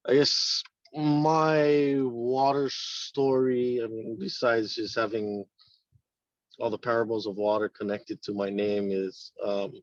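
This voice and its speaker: Slow-talking hawaii guy on a video call, dull dry sound, lots of uhs. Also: mid-range voice, little pitch movement, no room sound. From East Honolulu, Hawaii. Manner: halting, many ums and restarts. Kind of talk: casual self-introduction on a call.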